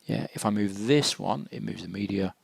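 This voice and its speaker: A man narrates an informal software walkthrough in a hesitant, matter-of-fact way, with a low, gravelly voice into a close microphone. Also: normal speed, no echo. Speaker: software tutorial presenter. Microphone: close computer microphone, screen-recording voice-over.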